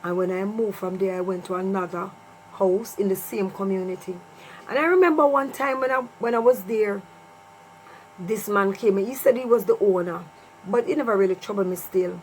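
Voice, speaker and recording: A woman's voice: mid-range voice, normal speed, a little mellow, low-quality recording. A middle-aged woman with a Jamaican accent tells a personal story casually to viewers, repeating phrases for emphasis. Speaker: vlogger telling a personal story. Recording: clear mains hum and noise.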